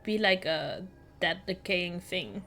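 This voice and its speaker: A woman's voice: fairly low pitch, takes it slowly, creaky, sharp tone. A woman whose first language is Dutch, hosting a podcast and reading a horror story aloud, then chatting and giggling about it. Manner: giggly and chatty in conversation, with frequent laughs. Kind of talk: reading a creepy story aloud, with casual chat between passages.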